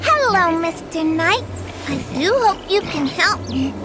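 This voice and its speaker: A woman's voice: very high-pitched, big pitch swings, unhurried, full character voice. An actress playing a sweet, wheedling fairy-tale little girl in a video game, coy and innocent, with music behind. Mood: sweet, pleading. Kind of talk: video game character acting.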